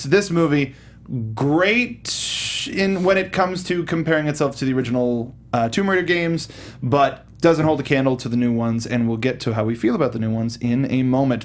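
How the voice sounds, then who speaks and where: A man hosting a casual review panel, restating phrases and joking as he goes. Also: a little high. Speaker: panel show host steering segments. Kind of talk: casual film review chat.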